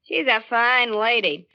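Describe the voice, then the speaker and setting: An actress playing an insolent, mocking household maid with a sly manner, in 1940s radio drama style. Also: female voice, on the high side, gravelly, full character voice. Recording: muffled vintage 1940s radio recording.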